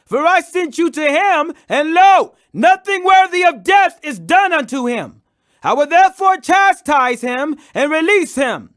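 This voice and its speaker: A man voicing an insistent Roman governor in a scripture audiobook, loud, emphatic and declamatory. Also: very high voice, expressive, unhurried, pitched above the performer's own voice, heavily acted. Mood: firm, declaring. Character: Roman governor, male, insistent, pleading authority.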